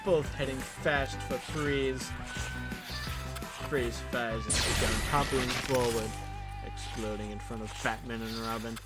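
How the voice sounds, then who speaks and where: A man's voice: mid-range voice, fairly even intonation, takes it slowly. A man narrating scripted superhero action dramatically as the narrator of an audio drama. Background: music.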